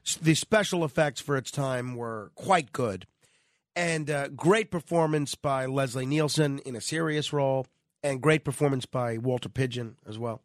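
A man's voice: mid-range voice, moderate pace, dry recording, clean studio sound. A man hosting conversational talk radio, his voice nasal and stuffed up with a cold. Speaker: radio host.

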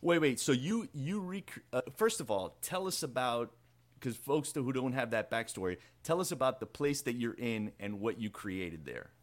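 A man's voice: mid-range voice, expressive, moderate pace. A man hosting a casual interview, asking playful questions with many restarts. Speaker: interview host.

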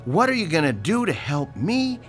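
A man's voice: a little high, big pitch swings, moderate pace, faint music behind. An actor playing a pushy, sarcastic older landlord in a radio drama, lecturing his tenant about rent. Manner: sarcastic, lecturing, theatrical swings from shouting to hushed.

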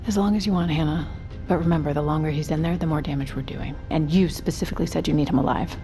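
A woman's voice: sits low, steady tone, fast, music in the background. An actress playing a tough, reluctant woman who bargains with exasperation in an audio drama. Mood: hard bargaining.

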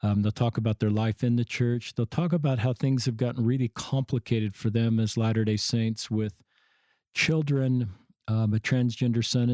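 A man hosting an interview podcast, introducing his guests in a gentle, rambling way. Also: mid-range voice, no room sound. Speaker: podcast host.

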